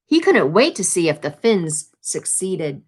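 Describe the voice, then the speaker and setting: A woman reading a children's picture book aloud in an expressive, instructive way, adding explanations for young listeners. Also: on the low side, measured pace. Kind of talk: read-aloud storytelling with explanatory asides to children.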